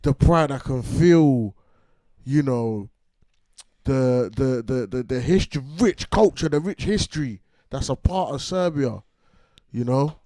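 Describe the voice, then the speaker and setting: A man's voice: medium pitch, lively intonation, unhurried, mellow, no echo. Guy chatting loose on a reaction vid, bruv and all, dry mic. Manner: laid-back, chatty, full of slang. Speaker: reaction video co-host. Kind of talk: casual reaction chat.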